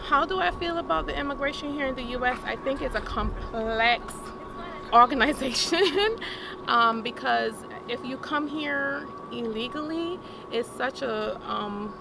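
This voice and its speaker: A middle-aged woman with a Barbadian accent, a college registrar coordinator, giving measured, articulate interview answers. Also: high voice, creaky. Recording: loud street and traffic noise.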